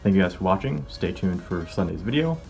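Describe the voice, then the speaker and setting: Young American male vlogger, early twenties, lowish pitch, informal explainer delivery, music underneath. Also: animated, fairly quick, vocal fry. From California, United States. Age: early 20s. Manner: informal, conversational. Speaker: car vlogger. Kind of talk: casual explanatory vlog talk to viewers. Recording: music behind the voice. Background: background music.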